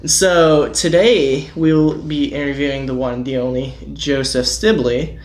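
Young male co-host, deadpan joker, slightly high voice, roomy sound. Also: measured pace. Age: young adult. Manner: joking, deadpan bits. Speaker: podcast co-host. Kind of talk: casual podcast banter. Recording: echoey room.

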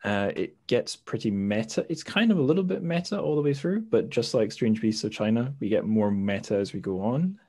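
A man's voice: on the low side, expressive, a little fast, hardly any pauses, dark tone, no echo, clean studio sound. A man hosting a podcast interview, reading long, thoughtful questions with many restarts and self-corrections. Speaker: podcast host.

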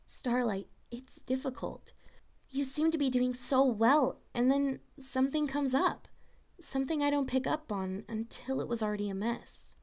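Woman playing a soft, high-voiced pony mentor. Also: normal speed. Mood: pained, gentle. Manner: soft, murmuring, hesitant. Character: gentle, regretful young female pony mentor.

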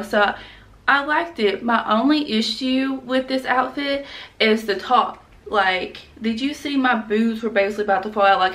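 A woman's voice: a little high, fairly bright tone, distant mic. A young woman chatting frankly in a casual fashion haul vlog, full of "like" and slang, talking to a camera a few feet away in a small room at home. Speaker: fashion vlogger. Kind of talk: casual try-on haul chat.

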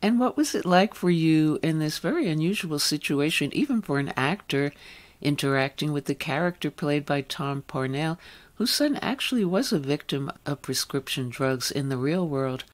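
A woman's voice: sits low, expressive, moderate pace. A woman hosting a radio interview, putting questions to a guest.

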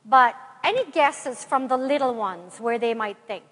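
A woman in her forties with an Irish accent, giving a parish talk to a congregation and leading them in prayer. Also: fairly high pitch. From Ireland. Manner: lively, warm address to a mixed-age congregation, then slow measured prayer reading. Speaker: retreat speaker. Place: large church sanctuary. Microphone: far camera in the pews. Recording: long church reverb from far off.

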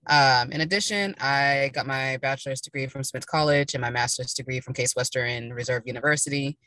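Bright voice, thinking aloud from a car. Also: medium pitch, brisk, no room sound, low-quality recording. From Kansas. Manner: thoughtful, thinking aloud with many fillers. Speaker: panelist, social worker. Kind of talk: casual panel talk. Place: parked car. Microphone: video call.

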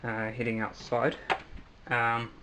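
Halting young New Zealand man, noisy recording. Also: mid-range voice, completely flat delivery. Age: young adult. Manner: hesitant, halting delivery with pauses. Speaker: hobbyist video maker. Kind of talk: casual demonstration vlog. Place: small cluttered room at home, indoors. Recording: noisy amateur home recording.

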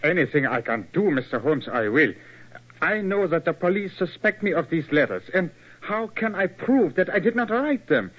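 A man's voice: on the high side, very animated, normal speed. An actor playing an earnest, desperate young man in an old radio drama. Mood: earnest, troubled. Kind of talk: 1940s radio drama acting. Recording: muffled old radio broadcast.

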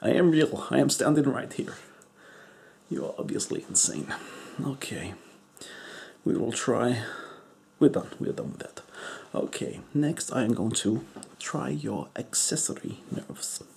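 A man voicing an eccentric, crude foreign male doctor in a deadpan whisper. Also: mid-range voice, creaky, slightly rough, full character voice. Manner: whispery, slow, deadpan joking. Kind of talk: comedic ASMR roleplay.

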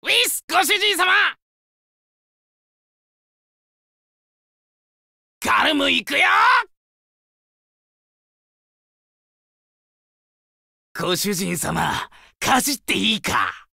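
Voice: mid-range voice, wildly swooping pitch, normal speed, vocal fry, bright, forceful tone, no room sound, shouting, clean studio sound, heavily acted. An actor playing an eager, childlike, fiercely loyal wolf guard dog in an anime game, speaking in simple, exclaimed phrases. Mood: menacing, eager. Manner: simple broken childlike speech, exclaiming, needy for praise. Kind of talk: anime game character voice lines.

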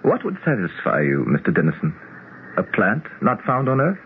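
Lofty immortal prince, tinny old radio. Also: male voice, lowish voice, wildly swooping pitch, normal speed. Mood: lofty, calm. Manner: formal, stately, declarative. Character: self-assured immortal alien prince, courtly and lofty. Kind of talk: 1970s radio drama acting. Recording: tinny, noisy 1970s radio broadcast.

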